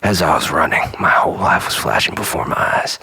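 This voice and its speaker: Husky, creaky man telling a melodramatic tale. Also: very deep voice, animated, brisk. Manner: melodramatic, swinging between loud exclamation and breathy hush. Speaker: storyteller performing a comic monologue. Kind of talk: dramatic first-person storytelling, theatrical monologue.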